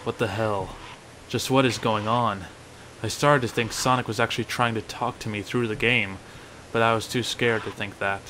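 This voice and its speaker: A man's voice: on the low side, fairly even intonation, moderate pace, sharp tone, a little hiss or hum, rough recording. A man reading a first-person horror story as a creepypasta narrator. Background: music.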